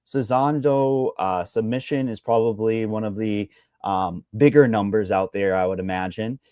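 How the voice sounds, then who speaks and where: A man's voice: lowish voice, moderate pace, mellow, smooth, no echo, clean studio sound. A man with a Boston accent, co-hosting a casual fight betting chat, rambling through odds with blunt, salty asides. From Boston, Massachusetts. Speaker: MMA betting analyst.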